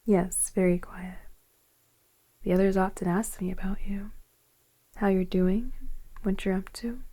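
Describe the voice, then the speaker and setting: A woman playing a gently flirtatious, affectionate woman in her forties in an intimate ASMR roleplay, speaking softly and breathily, often near a whisper, without hurry. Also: medium pitch, fairly even intonation, unhurried, husky. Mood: gently teasing. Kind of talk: ASMR romantic roleplay, intimate one-sided dialogue.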